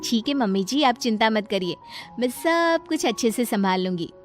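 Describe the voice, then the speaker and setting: A woman's voice: on the high side, animated, normal speed, faint music behind, pitched below the performer's own voice, close to an everyday voice. A woman voicing a stubborn, superstitious young daughter-in-law in a cartoon story, speaking in an insistent, pleading way. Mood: earnest. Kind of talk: scripted cartoon story dialogue.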